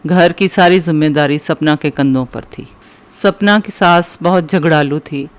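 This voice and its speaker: Man, story narrator, scripted kids' moral tale, slightly high pitch. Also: measured pace.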